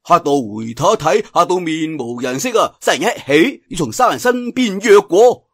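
A man's voice: a little high, expressive, a bit bright, no room sound, clean studio sound. A man narrating a martial arts tale in theatrical, colloquial Cantonese storytelling style. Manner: theatrical, colloquial telling with drawn-out sentence particles. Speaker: audiobook storyteller.

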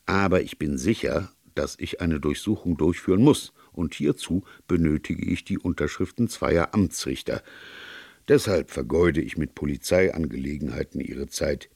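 A man's voice: rumbling low, normal speed, vocal fry, darkish tone, slightly rough, no room sound, exaggerated voice. A man voicing a courteous, calculating police chief who speaks formally and deferentially in an audiobook story.

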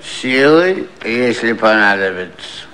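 An actor playing an insistent, humourless tycoon creditor in a satirical 1950s radio play, speaking formally on a noisy old recording. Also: male voice, on the low side, lively intonation, takes it slowly, sharp tone, exaggerated voice.

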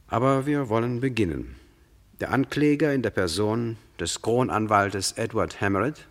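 Male narrator, standard German accent, deep register. Formal, deliberate storytelling for a radio crime drama. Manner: measured, formal, deliberate storytelling with rhetorical questions.

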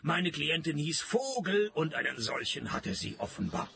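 An actor playing a dry, sarcastic private detective in a radio play, speaking in a deadpan, ironic way. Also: male voice, fairly high pitch, normal speed, vocal fry, slightly rough, full character voice. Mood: wry narrating. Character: dry, sarcastic male private detective, unimpressed and quick-witted. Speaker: lead detective and narrator. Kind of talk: radio play dialogue and first-person narration.

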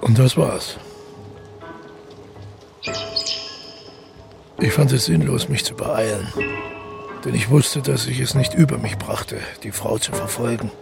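A deeply gravelly, fry-laden male killer tells his story in a radio play. He is measured, reflective and detached, speaking at a middle pitch over clearly audible music. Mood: resigned. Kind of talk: literary radio drama monologue. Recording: music playing under the voice.

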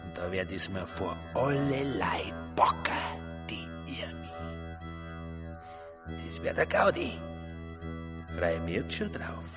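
An actor playing a menacing ex-convict blackmailer in a radio drama, with a Bavarian accent and a coarse, taunting, mock-polite manner. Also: male voice, deep voice, wildly swooping pitch, slow pace, pauses often, heavily acted. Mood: threatening, mocking. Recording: long reverberant space, music underneath. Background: music.

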